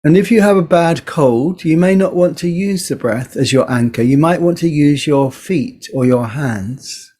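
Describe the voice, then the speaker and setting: Slow-spoken man guiding meditation. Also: a little high, husky. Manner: gentle, unhurried, pausing between short phrases. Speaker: meditation teacher. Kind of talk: guided body scan meditation.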